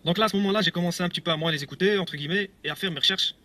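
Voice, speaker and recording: A man's voice: high voice, racing, pushed and bright, dry recording. A man telling his own story on video, rambling and hesitant, with repeats and nervous laughs. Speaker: ex-believer giving a testimony video. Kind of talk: casual video testimony.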